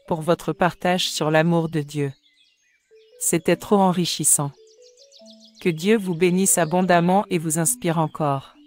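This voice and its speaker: A woman as a grateful, low-voiced mother. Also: a little mellow, lightly acted. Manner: grateful, formal thanks. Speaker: mother of a child. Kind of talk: scripted cartoon dialogue.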